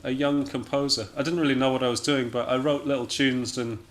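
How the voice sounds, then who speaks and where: Man, from Scotland, medium pitch, interview guest, hesitant, self-deprecating, understated, casual chat. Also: fairly even intonation, brisk. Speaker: interview guest, jazz pianist and musician.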